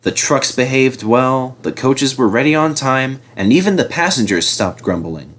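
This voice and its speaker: A man narrating a children's storybook in an expressive, scripted reading style. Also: mid-range voice, normal speed.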